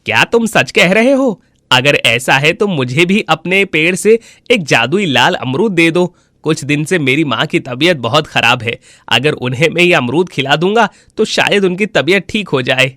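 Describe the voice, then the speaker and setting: A man playing a simple, kind-hearted villager in a cartoon moral story, with an earnest, expressive delivery. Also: on the high side, normal speed, no echo, studio quality. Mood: earnest, friendly. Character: honest, simple, kind-hearted village husband and his neighbours.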